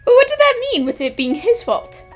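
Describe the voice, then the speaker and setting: A woman's voice: extremely high pitch, very animated, normal speed, faint music behind. A woman voicing a perky, inquisitive young female detective in a cartoon fan dub, chirpy and keen to explain. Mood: bright, curious. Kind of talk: animated mystery fan dub acting.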